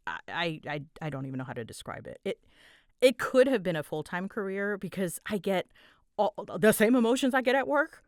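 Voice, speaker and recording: A woman's voice: medium pitch, very animated, fairly quick, no room sound, clean studio sound. A woman chatting casually and animatedly as she answers listener questions, with a giggly, self-deprecating manner. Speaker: hobbyist audio roleplay creator answering listener questions.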